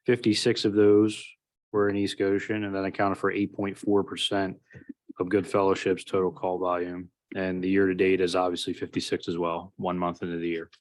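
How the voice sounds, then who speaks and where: A man's voice: low voice, completely flat delivery, moderate pace. A man giving a formal, matter-of-fact report of fire company call numbers at a township meeting. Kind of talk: reading a report at a public meeting.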